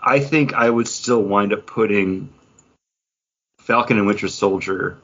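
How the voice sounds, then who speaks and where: A man with a fairly low, husky voice talks casually as a podcast co-host. He thinks aloud in a measured way as he weighs his opinions. The recording is a little muffled. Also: fairly bright tone. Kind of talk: casual opinion chat about movie rankings.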